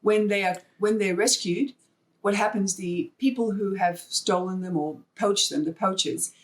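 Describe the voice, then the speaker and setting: A woman from Hong Kong speaks as an interview guest, at a middle pitch. She tells her stories in a chatty, conversational way. She often slips into quoted bits of dialogue as she goes. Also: moderate pace, rough recording. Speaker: podcast guest, artist and wildlife fundraiser.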